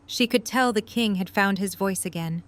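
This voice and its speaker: A woman's voice: mid-range voice, moderate pace. A woman reading scripted story narration as the narrator of an audio drama.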